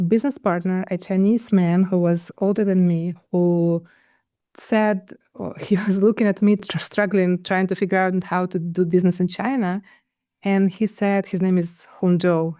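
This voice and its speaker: A woman's voice: on the low side, dark tone, clean tone, no room sound, clean studio sound. A middle-aged woman from Ukraine, a guest telling her life story in an interview, hesitant and full of restarts. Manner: hesitant, with many restarts and fillers. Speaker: guest, conflict consultant.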